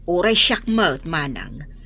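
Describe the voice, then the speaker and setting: A woman's voice: low-pitched, big pitch swings, takes it slowly, sharp tone, husky, full character voice. A woman with a Filipino accent playing a supportive, kindly family member in an audio drama. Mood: helpful. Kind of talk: Ilocano audio drama acting.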